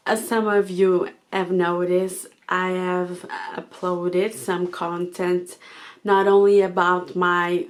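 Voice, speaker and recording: A Portuguese woman speaking English as a second language, thinking aloud to her channel with many pauses and fillers. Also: on the low side. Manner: thoughtful, hesitant, with frequent fillers. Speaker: channel host. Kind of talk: reflective monologue to camera.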